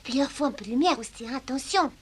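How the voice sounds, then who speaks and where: A woman's voice: high voice, expressive, rapid-fire, breathy edge. An actress voicing a confident, helpful young boy with a matter-of-fact, instructing manner in a French cartoon dub. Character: confident, helpful young boy who cares for bear cubs. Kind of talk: dubbed cartoon acting in French.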